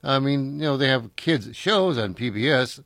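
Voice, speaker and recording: A man's voice: medium pitch, lively intonation, moderate pace, a bit bright, no echo. An older man, hosting a casual chat and reminiscing in a rambling way, full of fillers and restarts.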